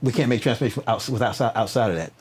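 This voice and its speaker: A man's voice: medium pitch, expressive, racing, darkish tone, breathy edge. A man speaking as a podcast guest, telling stories conversationally with preacher-like emphatic repetition, rhetorical questions and frequent check-in tags. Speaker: podcast guest, nonprofit founder. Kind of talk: animated conversational storytelling, interview.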